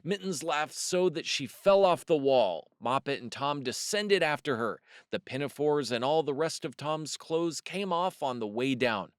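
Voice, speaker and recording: A man narrating a children's storybook as a storyteller, expressive and deliberate in his emphasis. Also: fairly high pitch, clear, resonant tone, dry recording, studio quality. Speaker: storyteller, host of a read-aloud series.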